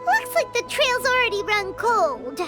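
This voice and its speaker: A tiny childlike fairy from an anime game, loyal and perky. The squeaky voice is extremely high and leaps wildly in pitch, with drawn-out exclamations over loud music. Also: female voice, big pitch swings, full character voice. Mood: surprised. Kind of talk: scripted anime game voice acting.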